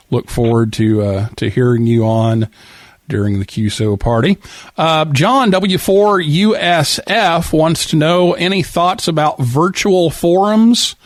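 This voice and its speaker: A man's voice: on the high side, no echo. Casual male radio host, dry close sound. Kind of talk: live call-in show talk, reading listener messages.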